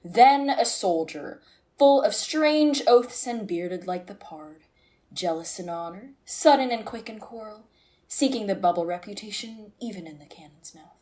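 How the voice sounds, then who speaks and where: A woman's voice: medium pitch, animated, unhurried, dark tone, close to an everyday voice. A woman playing a cynical, melancholy philosopher lord in a Shakespearean radio play, with theatrical verse delivery. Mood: wry, reflective.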